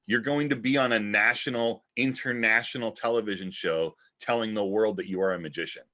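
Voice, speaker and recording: A man's voice: on the low side, fairly quick, sharp tone. A man co-hosting a magic review show, making emphatic points in casual chat.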